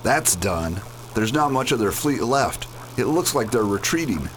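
A man's voice: mid-range voice, animated, unhurried, slight background noise, natural voice. A man acting a brooding, greedy villain in a space radio play, with a disdainful manner. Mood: matter-of-fact. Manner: disdainful, muttering to himself. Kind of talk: amateur radio theater acting.

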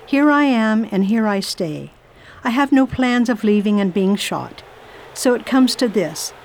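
Woman as brisk volunteer nurse. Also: a little high, expressive, darkish tone. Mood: resolute. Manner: firm, commanding, proper. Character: brisk, determined American lady volunteer nurse. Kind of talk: amateur radio drama acting.

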